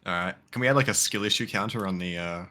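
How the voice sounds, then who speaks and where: A man's voice: deep voice, quick pace, sharp tone. A man chatting casually while streaming a modded game, rambling and swearing loosely. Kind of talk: casual gaming chat.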